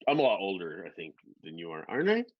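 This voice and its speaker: A man's voice: low voice, huge pitch swings, fast, no echo, dull audio. Chatty rambling man in his forties. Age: 40s. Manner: rambling, swears freely, laughs often. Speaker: guest drone pilot. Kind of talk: casual chat.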